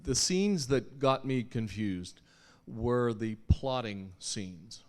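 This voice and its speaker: A man with a medium-pitched voice comments from the audience. He offers critique as a fellow actor would, in casual, conversational speech. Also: expressive, slow. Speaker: audience member and fellow actor giving feedback.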